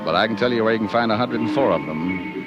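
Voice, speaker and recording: Radio noir detective male actor: lowish voice, smooth-talking, dry wisecracks, unruffled, musical backing. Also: quick pace, highs cut off, music in the background, lightly acted. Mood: wry narration. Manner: glib, dry-witted, unruffled. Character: slick, wisecracking private detective narrating his case. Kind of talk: 1940s radio acting, first-person narration.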